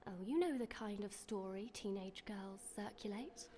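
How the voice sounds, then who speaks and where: A woman plays a young English sweetheart in a period radio play, with a medium-pitched voice. She is well-spoken, teasing and dryly wry, with light ambience behind her. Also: normal speed, mellow, a little hiss or hum, natural voice. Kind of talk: period radio drama acting.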